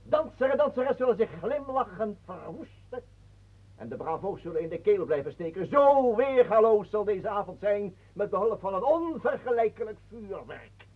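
An actor playing a pompous, mocking self-styled ballet master with florid, theatrical delivery in a radio play. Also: male voice, sits high, lively intonation, normal speed, slightly rough. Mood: grandiose, exalted. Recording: muffled phone-like old radio recording.

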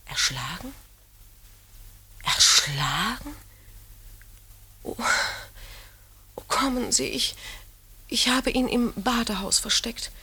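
A woman's voice: on the high side, big pitch swings, slow pace, long pauses, bright, forceful tone, slightly rough, heavily acted. A woman acting a genteel, anxious official's wife in a 1950s German radio play, polite and dramatically pleading. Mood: frightened pleading. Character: genteel, anxious police chief's wife hiding a secret. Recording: old radio recording, faint noise and clicks.

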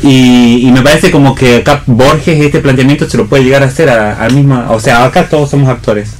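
A man's voice: mid-range voice, fairly even intonation, a little fast, a little hiss or hum. A man with an Argentine accent leads a casual round-table on the radio, rephrasing as he goes and posing questions to the group. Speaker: host and actor leading a panel discussion. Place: radio programme with background ambience.

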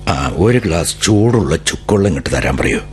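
A man's voice: sits low, sing-song, moderate pace, a little hiss or hum. An actor playing a tired older father who runs a newspaper, sighing and pressing his daughter. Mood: weary, pressing. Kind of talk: radio drama acting. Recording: vintage radio broadcast with music.

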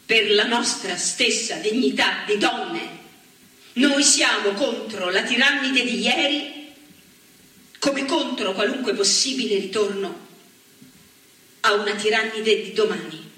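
A woman's voice: mid-range voice, slow, long pauses, bright, forceful tone. Echoey, impassioned woman orator. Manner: solemn, rhetorical, emphatic. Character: impassioned anti-fascist woman politician addressing fellow assembly delegates. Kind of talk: stage theatre oratory. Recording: echoey theatre stage, far from mic.

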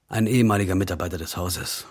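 A man's voice: fast, darkish tone. A man reading the part of a terse male police officer who speaks in short, clipped, matter-of-fact lines. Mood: curt. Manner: curt, low, clipped.

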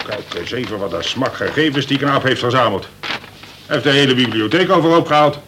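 An actor playing a worried, blustering prison governor, a cautious and officious colonel, in a Dutch radio play. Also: male voice, medium pitch, a bit bright, slight background noise, natural voice. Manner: exasperated, swearing, officious.

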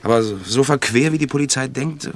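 An actor playing an irritable husband under suspicion in a vintage radio crime play, answering defensively and brushing off accusations. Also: male voice, medium pitch, fairly quick, heavy background noise, natural voice. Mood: uneasy.

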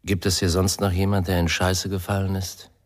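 An actor playing a menacing, sneering criminal in a radio crime drama, threatening and contemptuous. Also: male voice, deep voice, level tone, moderate pace, natural voice.

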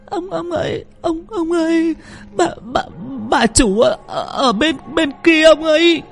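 A man voicing a young servant girl who is panicky and pushy, in a high strained voice. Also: normal speed, warm tone, soft background music, close to an everyday voice. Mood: terrified.

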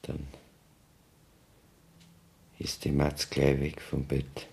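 An actor playing a brooding, subdued hunted man in a radio play, with a Bavarian accent and a gravelly, husky voice. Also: a bass voice, monotone, drawn-out words, darkish tone, exaggerated voice. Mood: brooding, muted.

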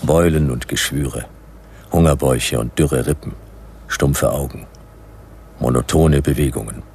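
A hard-boiled detective in a sci-fi radio play. His very deep voice is full of gravel, and he speaks in dry, terse, sardonic lines over faint background noise. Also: fairly even intonation, unhurried, darkish tone. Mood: laconic narrating. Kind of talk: noir first-person narration and radio play dialogue.

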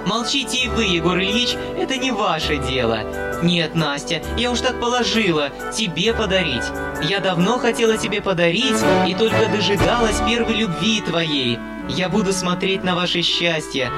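A man reading an audiobook, voicing an excitable older spinster woman who gushes in breathless exclamations. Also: high voice, voice pushed up. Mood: pleading.

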